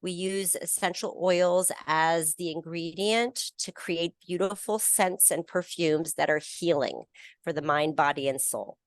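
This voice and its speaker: American woman guest, slightly low voice, chatty and easygoing, dry sound over a video call. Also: a little slow, no echo. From United States. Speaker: brand founder, guest. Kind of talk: casual video interview. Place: her retail store.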